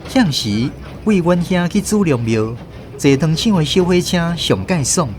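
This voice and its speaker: Animated Taiwanese male storyteller. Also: fairly high pitch, unhurried, a little hiss or hum. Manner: expressive storytelling. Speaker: narrator and host. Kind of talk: scripted radio novel narration.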